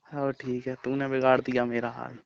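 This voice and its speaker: A man's voice: mid-range voice, monotone, moderate pace, gravelly, a little hiss or hum. A young man chatting casually with his partner on a phone call. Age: young adult. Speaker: boyfriend on a prank call. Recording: dull, lo-fi phone call recording.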